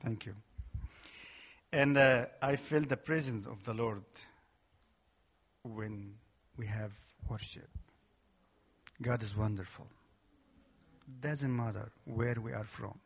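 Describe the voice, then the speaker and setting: A man's voice: mid-range voice, slow pace, vocal fry, long pauses, breathy edge, muffled recording. A man with an Egyptian accent giving an earnest, emphatic church testimony in slightly broken English. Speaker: guest speaker. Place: church hall.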